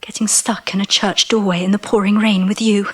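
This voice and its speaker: Casting: actress as eager young sweetheart, audio drama. Hushed and breathy, gushing, coaxing, soft-hearted. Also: female voice, medium pitch, little pitch movement, a little fast, natural voice. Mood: adoring. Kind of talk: intimate audio drama acting.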